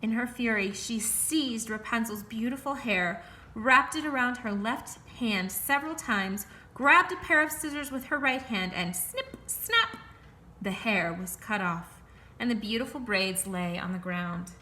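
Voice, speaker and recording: Bright-voiced woman reading a fairy tale. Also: a little high, a little hiss or hum. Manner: clear, expressive, measured. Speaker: storyteller.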